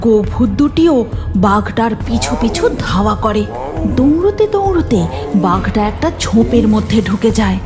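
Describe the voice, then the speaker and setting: A woman's voice: on the high side, expressive, normal speed, over music. A woman narrating a scripted horror story for a cartoon in a dramatic storytelling style.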